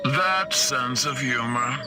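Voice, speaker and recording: Man playing a general, dry delivery, slow, mid-pitched, music underneath, game acting. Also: natural voice. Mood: wry. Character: dry, unhurried male general facing an alien.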